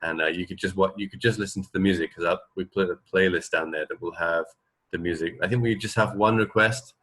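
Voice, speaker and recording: A man's voice: low-pitched, fairly even intonation, quick pace, fairly bright tone, breathy edge. A man hosting a radio show from home, chatting casually in a loose, hesitant, rambling way. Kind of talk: casual chat.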